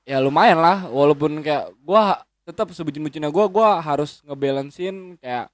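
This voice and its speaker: A man's voice: fairly high pitch, normal speed, dry recording, studio quality. A man, chatting as a guest in a rambling, slangy way with lots of swearing and restarts. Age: 20s. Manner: rambling, frequent swearing and self-correcting hesitations. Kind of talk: casual chat.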